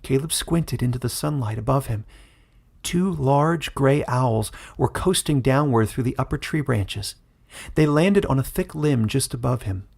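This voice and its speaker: A man narrates his own fantasy story at a steady storytelling pace. His voice is gravelly and husky. It sits at a middle pitch. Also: measured pace. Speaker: author and narrator.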